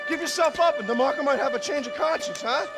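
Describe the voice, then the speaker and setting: A man's voice: extremely high pitch, fast talker. A man playing a menacing, taunting gangster henchman with slangy talk in a cinematic video game. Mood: taunting menace. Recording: loud music behind the voice.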